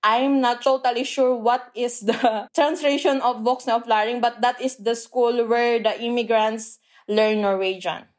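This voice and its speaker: A woman from the Philippines with a Filipino accent, whose first language is Tagalog. She is a language teacher who explains things earnestly in a casual interview, pausing often with "uh". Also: fairly high pitch, fairly even intonation. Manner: earnest, explanatory, frequent "uh" pauses.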